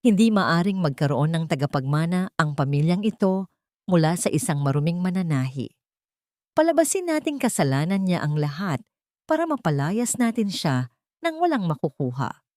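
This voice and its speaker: A woman's voice: fairly low pitch, big pitch swings, normal speed, a little mellow, dry recording, studio quality. A woman voicing a haughty, cruel wealthy matriarch in a cold, commanding storybook drama manner. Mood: cold, plotting.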